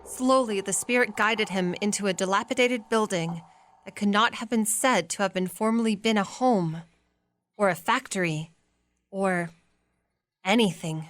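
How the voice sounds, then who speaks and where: A woman performing as a storyteller, giving measured scripted narration with dramatic pauses for an audio drama. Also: mid-range voice, fairly bright tone, no room sound, lightly acted.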